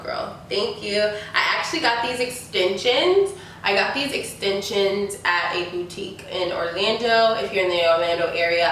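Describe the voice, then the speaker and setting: A woman's voice: fairly low pitch, fairly bright tone. A young woman in her twenties, stuffy from a sinus infection, chatting casually through a Q&A with lots of likes and ums. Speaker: beauty YouTuber. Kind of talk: casual Q&A chat. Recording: echoey room, mic at a distance, faint noise.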